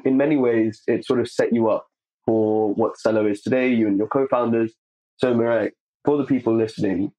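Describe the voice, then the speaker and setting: A man's voice: mid-range voice, dark tone, low-quality recording. A man hosting a podcast, asking friendly prompting questions of his guest. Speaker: podcast host. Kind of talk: podcast interview.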